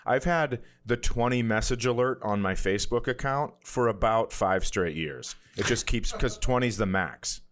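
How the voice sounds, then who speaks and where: A man's voice: medium pitch, moderate pace, few pauses, fairly bright tone. A man co-hosting a comedy podcast in casual, teasing banter, joking and swearing freely.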